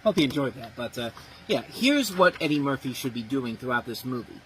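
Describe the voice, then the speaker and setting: A man's voice: mid-range voice, very animated, fairly quick, slight background noise, poor audio. A man giving a blunt, profane, exasperated movie review, ranting about what went wrong. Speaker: film reviewer.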